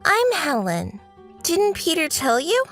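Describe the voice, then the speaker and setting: A woman's voice: very high voice, wildly swooping pitch, takes it slowly, a bit bright, soft background music, pitched above the performer's own voice, heavily acted. A woman voicing a smug young mistress who calls another woman out of the blue. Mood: sly.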